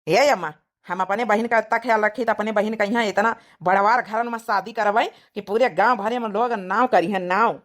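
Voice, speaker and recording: A woman's voice: mid-range voice, steady tone, normal speed, close to an everyday voice. A woman voicing a devoted, protective young village man in a cartoon comedy, with an Awadhi accent and teasing, boastful manner. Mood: proud.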